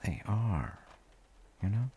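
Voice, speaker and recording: A man playing a gentle, musing father in a sleep-aid audio roleplay, talking in unhurried, halting rambles with a low, gravelly voice. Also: very animated, slight background noise, full character voice. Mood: soothing, musing. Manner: unhurried, musing, halting with soft trailing phrases. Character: gentle, musing father comforting his tired grown child.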